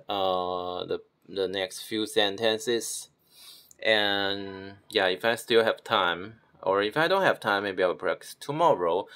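A man from Taiwan, practicing pronunciation slowly and repeating words as he corrects himself. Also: fairly low pitch. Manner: slow, repeats words, self-correcting with soft laughs. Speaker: language learner. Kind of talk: self-recorded pronunciation practice.

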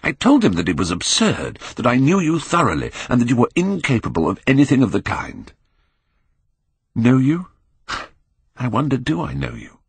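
A man voicing an earnest, anguished Victorian painter who pleads and moralises gravely in an audiobook. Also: mid-range voice, big pitch swings, normal speed, no echo, pitched above the performer's own voice, exaggerated voice. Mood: urgent, distressed.

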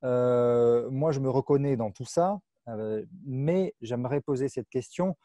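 A French man hosts a festival interview over a video call, his voice mellow and dry. He asks long, searching questions through an earphone mic from a room at home. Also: mid-range voice, unhurried, no room sound. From France.

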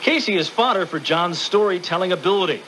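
A man's voice: sits high, fairly quick, fairly bright tone. An American man hosting a radio program with scripted narration. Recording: faintly noisy 1990s radio recording.

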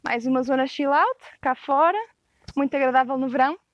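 A young woman with a European Portuguese accent gives a casual walkthrough tour of a rustic stone farmhouse. She describes each space in a relaxed way, with frequent hesitations. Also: sits high, fairly quick, muffled recording. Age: young adult. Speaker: property tour guide.

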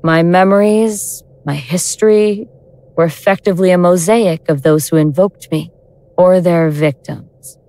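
A woman's voice: fairly low pitch, mellow, no room sound. Woman acting a coldly sardonic ancient goddess, dry close sound. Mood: calm, superior. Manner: measured, sardonic, grandiose. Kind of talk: audio drama acting.

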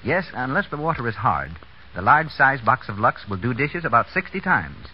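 A man's voice: mid-range voice, big pitch swings, moderate pace. An American man announcing in polished, persuasive 1930s radio style. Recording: scratchy muffled 1930s radio broadcast.

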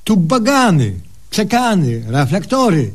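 A man's voice: high voice, sing-song, full character voice. An actor playing a cocky, simple-minded male patient in a humorous radio sketch, with folksy, ungrammatical speech. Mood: boastful. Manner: folksy, ungrammatical, drawn-out exclamations.

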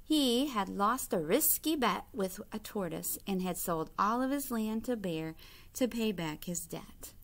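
Slow, expressive woman reading a storybook. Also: mid-range voice. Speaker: teacher reading aloud. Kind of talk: expressive children's storybook read-aloud. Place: indoor classroom.

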